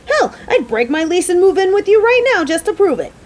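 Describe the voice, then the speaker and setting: A woman's voice: very high-pitched, very animated, a little fast. A woman playing a caring, motherly female coworker who frets over the person close to her, earnest and affectionate in a romantic audio roleplay. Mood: exasperated, pleading. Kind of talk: scripted romantic audio roleplay spoken to the listener.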